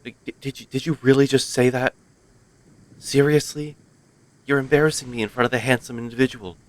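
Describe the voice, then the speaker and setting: A man's voice: medium pitch, steady tone, a little fast. A man streaming a video game, giving casual commentary with playful asides.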